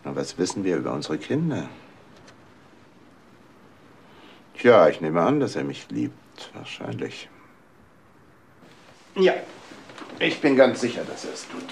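Middle-aged male doctor, crime radio drama: indignant, hesitant, very animated intonation, slight background noise. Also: sits low, moderate pace, creaky, long pauses, exaggerated voice. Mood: indignant, pensive. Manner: hesitant, polite, evasive.

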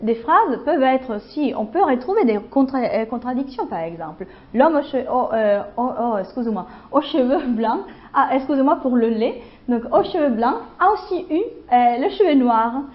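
Italian-accented woman lecturing, many pauses. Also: sits high, lively intonation, muffled recording. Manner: hesitant, many fillers and restarts, checks understanding. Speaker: guest lecturer. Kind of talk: university lecture.

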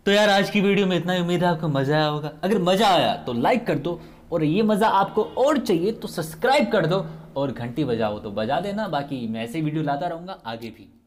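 A man's voice: fairly high pitch, expressive, moderate pace. A young man giving comic voice-over commentary on funny clips, chatty and full of nostalgic jokes. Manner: jokey, nostalgic, chatty asides. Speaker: video commentator.

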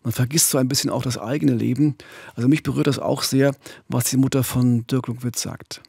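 Gravelly German man hosting a podcast. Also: medium pitch, fairly even intonation, brisk, darkish tone, no echo, clean studio sound. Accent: Standard German. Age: 50s. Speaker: podcast co-host. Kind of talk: true-crime podcast hosting. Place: small indoor recording studio. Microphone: close studio microphone on boom arm. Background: music.

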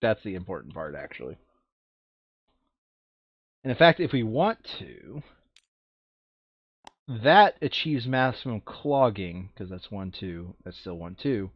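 A man's voice: on the low side, big pitch swings, slow pace, pauses often, breathy edge, dry recording, dull audio. A man running a board game playthrough in casual, crude, joking chat, now and then reading game text aloud. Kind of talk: casual gaming chat with bits of read-aloud flavour text.

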